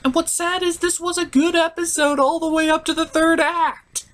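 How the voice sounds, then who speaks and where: A man's voice: very high voice, level tone, moderate pace, a bit bright. A man delivering a ranting, profane review as an online host, exasperated and emphatic.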